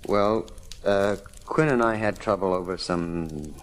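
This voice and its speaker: An actor with an American accent playing an evasive, defensive murder suspect who speaks hesitantly in an old radio drama. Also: male voice, low voice, unhurried. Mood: evasive, nervous. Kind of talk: 1940s-50s American radio detective drama acting. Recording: noisy old radio recording.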